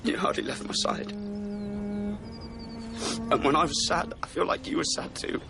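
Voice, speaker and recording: A man's voice: sits high, fairly even intonation, unhurried, over music. A young-sounding man playing a sensitive, practical teenage son who loves his dog, in a naturalistic radio drama. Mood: tearful, halting.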